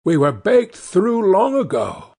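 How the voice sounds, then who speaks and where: A man's voice: sits high, very animated, slow pace, creaky, darkish tone, breathy edge, voice pushed up, exaggerated voice. A man voicing pleading loaves of bread in a storybook tale, crying out to be rescued. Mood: pleading, urgent.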